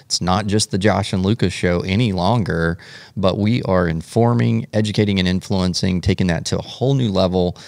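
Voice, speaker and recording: Gravelly low-voiced man hosting a podcast. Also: moderate pace, a little mellow, no room sound. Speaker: podcast co-host.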